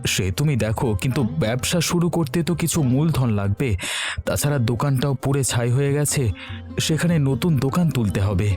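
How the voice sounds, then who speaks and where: A man's voice: medium pitch, expressive, normal speed, a little mellow, natural voice. A man playing an earnest, dutiful young village son in a cartoon fairy tale, speaking politely. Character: earnest, dutiful young student son turned fritter seller. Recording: faint music bed.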